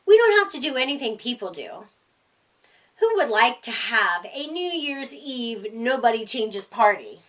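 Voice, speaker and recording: A gentle, plain-spoken storybook frog played by a woman, content with himself and his friends. The voice is high and takes its time, heard slightly away from the microphone. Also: very animated, unhurried, far from the mic. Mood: reassuring. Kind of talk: children's storybook character reading.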